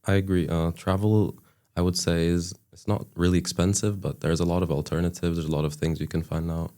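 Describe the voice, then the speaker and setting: Very deep-voiced young man from Turkey. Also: level tone, a little fast, warm tone. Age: young adult. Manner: hesitant, many restarts and fillers. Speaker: guest student. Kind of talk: casual chat.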